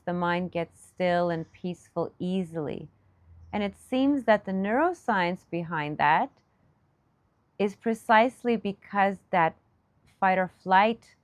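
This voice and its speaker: Woman, meditation teacher, slow pace, gentle and soothing, long pauses, dry close sound. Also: medium pitch, dry recording. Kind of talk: guided meditation, soft unscripted instruction.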